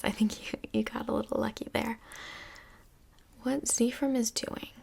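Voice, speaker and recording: A woman playing a calm, reassuring couples' counsellor in a soft-spoken, breathy, mostly whispered ASMR role play, gentle and measured. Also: mid-range voice, full character voice.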